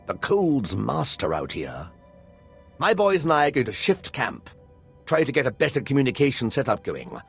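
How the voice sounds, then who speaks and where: An actor playing an eccentric, eager military archaeologist in a video game, musing with enthusiasm. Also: male voice, mid-range voice, huge pitch swings, normal speed, creaky, slightly rough, soft background music, heavily acted. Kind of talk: game voice acting.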